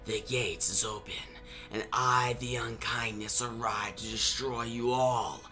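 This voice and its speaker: A man voicing a smug, menacing female villain in a comic book story, cold and deliberate. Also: medium pitch, fairly even intonation, pushed and bright, soft background music, pitched below the performer's own voice. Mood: cold menace.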